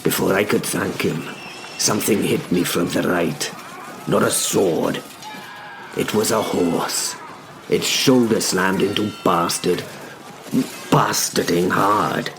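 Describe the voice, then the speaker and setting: A male narrator delivers dramatic first-person storytelling. His voice is very deep and gravelly, and he moves very slowly through short, deliberate phrases. Music plays behind him. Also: noisy background.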